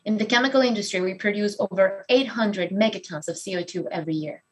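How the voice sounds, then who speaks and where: Woman, 30s, candid expressive storytelling, pokes fun at herself. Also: mid-range voice, fast. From Venezuela. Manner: candid and expressive, self-deprecating. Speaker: speaker giving a talk. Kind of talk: personal story talk.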